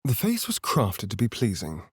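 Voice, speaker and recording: A man's voice: lowish voice, huge pitch swings, moderate pace, husky, dry recording, studio quality, heavily acted. A man with a British accent playing a sly, vain vampire spawn rogue in a video game, with an arch, sardonic, theatrical delivery. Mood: measured, narrating.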